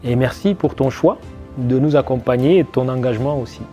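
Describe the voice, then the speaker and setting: A man's voice: medium pitch, a little fast, dark tone, faint music behind. A man as an interview guest, answering reflectively with many hesitations and restarts. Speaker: guest, army officer.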